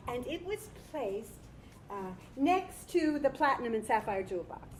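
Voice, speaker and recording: A woman with a fairly high voice narrates in a staged story reading. Her voice comes through a roomy recording with faint noise. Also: sing-song, normal speed. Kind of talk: dramatized literary storytelling. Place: live staged reading in a room.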